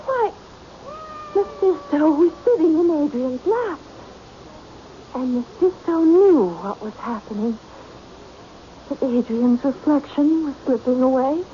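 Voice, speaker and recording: A woman's voice: sits high, lively intonation, takes it slowly, long pauses. An actress playing a distraught, possessive woman obsessed with her cat in a radio drama. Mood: intense wonder. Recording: dull, hissy old radio recording.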